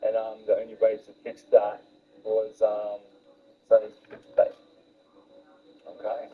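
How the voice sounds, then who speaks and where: A man's voice: fairly low pitch, monotone, slow, phone-quality sound. A man talking informally and earnestly, as in a frank relationship discussion.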